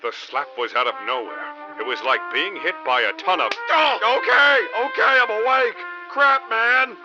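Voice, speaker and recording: An actor playing a hapless, dim novice private detective, narrating a parody noir radio drama in deadpan melodrama. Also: male voice, high voice, normal speed, lightly acted. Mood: flustered. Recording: dull lo-fi audio under loud music.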